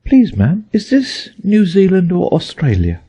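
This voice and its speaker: A man's voice: sits high, huge pitch swings, unhurried, mellow, breathy edge, voice pushed up, full character voice. A man voicing a curious, chattering, earnest little girl who muses primly aloud to herself in an audiobook. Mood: musing, chattering. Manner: musing aloud to herself, prim. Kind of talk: audiobook character voice.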